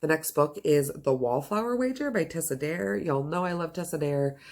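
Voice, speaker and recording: A woman with a notably low voice talks straight to her viewers in a casual, conversational way. She is enthusiastic and emphatic, gushing as she reviews books. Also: a little mellow. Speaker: book reviewer and video host. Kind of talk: casual to-camera book chat.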